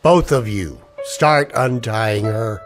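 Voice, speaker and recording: A man's voice: fairly low pitch, takes it slowly, soft background music. An actor playing a tense, defiant man narrating a noir sci-fi ordeal in old radio drama style, hard-boiled and urgent. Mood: defiant, threatening. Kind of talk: 1940s radio drama acting with first-person narration.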